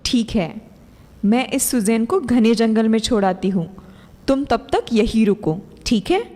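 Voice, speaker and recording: A woman's voice: fairly high pitch, expressive, unhurried, mellow. A woman playing a scheming, commanding stepmother sorceress in a children's fairy tale. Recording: long hall reverb, music behind.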